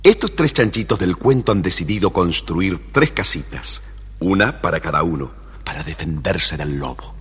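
A man narrating a scripted children's tale with an expressive, deliberate storyteller delivery. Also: low-pitched, breathy edge. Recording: reverberant, faintly noisy old story record.

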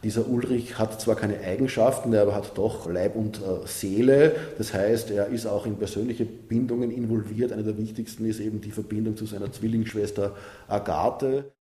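Dark-voiced man explaining in an echoing room. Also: fairly low pitch, fairly even intonation, moderate pace. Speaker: interviewed expert. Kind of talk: explanatory interview talk. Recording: roomy, echoing interview sound.

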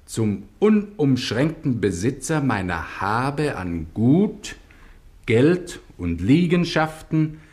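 An actor playing a stern, dignified Venetian judge in a German radio drama, measured and authoritative. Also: male voice, mid-range voice, sing-song, a little mellow. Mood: solemn reading.

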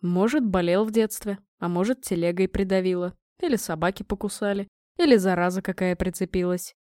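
A woman's voice: lowish voice, brisk, mellow, dry recording, pitched below the performer's own voice, close to an everyday voice. A smug, middle-aged king from a storybook, read by a woman. He teases in an offhand, philosophical way, unmoved by protest, in a very dry recording. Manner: chuckling, casual, offhand.

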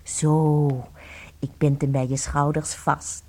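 A woman acting in a radio play as an adoring, excitable wife, cooing and theatrical. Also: sits low, slow pace. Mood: coaxing, tender. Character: adoring, gushing wife, tender and excitable, babying her tiny husband.

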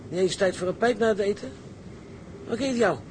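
An actor playing a blunt, sceptical, grumbling shipmate in a Dutch sea drama. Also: male voice, sits high, moderate pace, a little hiss or hum, natural voice, low-quality recording. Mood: baffled, grumbling.

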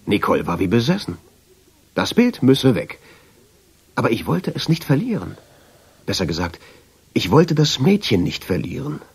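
A man's voice: mid-range voice, very animated, moderate pace, vocal fry, long pauses, darkish tone, slightly rough, full character voice. A man in a German radio drama playing a brooding, evasive husband obsessed with a painting. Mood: reflective. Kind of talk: German radio drama acting and first-person narration.

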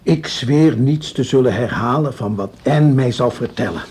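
A principled, careful man in a radio play. His voice is very husky and of medium pitch. He speaks earnestly and measuredly, weighing what he says. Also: normal speed, darkish tone. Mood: solemn. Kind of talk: radio drama acting.

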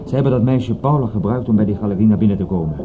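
An actor playing a shrewd police inspector in a radio drama, needling and politely condescending in a dry way. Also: male voice, fairly low pitch, racing, close to an everyday voice. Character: shrewd, drily teasing male police inspector. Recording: tinny, noisy old radio recording.

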